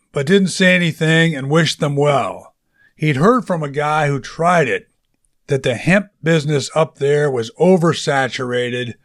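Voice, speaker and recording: A man reading scripted fiction aloud as a narrator, with a casual, conversational storytelling delivery. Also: fairly high pitch. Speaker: audiobook narrator.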